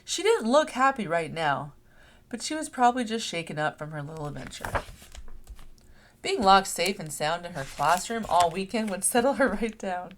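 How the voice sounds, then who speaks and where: A woman reads a picture book aloud for young children. Her delivery is expressive and animated, with pitch that moves up and down freely as she tells the story. There is faint noise behind her voice. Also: normal speed. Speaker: read-aloud host. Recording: clear background noise.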